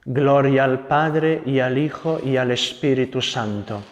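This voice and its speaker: Young italian friar, gentle slow talk, bit of reverb in the room. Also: male voice, mid-range voice, highs cut off. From Italy. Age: young adult. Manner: gentle, unhurried, adds tag questions. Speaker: Carmelite friar giving a talk. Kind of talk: spiritual talk with prayer.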